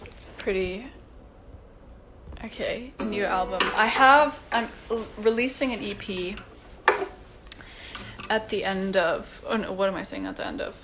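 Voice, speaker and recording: A young woman from Iceland chatting casually on a livestream, soft-spoken and rambling, talking into a phone. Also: medium pitch, measured pace, pauses often, very noisy. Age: young adult. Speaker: singer-songwriter on a livestream. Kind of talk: casual chat to viewers.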